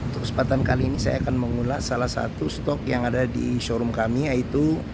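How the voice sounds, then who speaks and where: A man with a hoarse voice hosting a walkaround review of a used car, talking unhurriedly with many fillers. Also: medium pitch, steady tone, a little fast. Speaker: used car dealer host. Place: car showroom with traffic outside. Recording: loud ambient street and vehicle noise.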